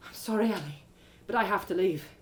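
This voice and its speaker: A woman's voice: medium pitch, a little fast, slight background noise, close to an everyday voice. A woman voicing a weary, guarded female elf warrior in a fantasy story, terse and brooding. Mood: sorrowful.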